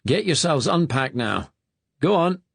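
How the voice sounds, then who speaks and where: A man voicing a severe male schoolmaster with a Scottish accent and a sharp, barking way of talking. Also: a little high, sing-song, unhurried, gravelly, breathy edge, no echo, pitched above the performer's own voice, full character voice. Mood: curt, commanding.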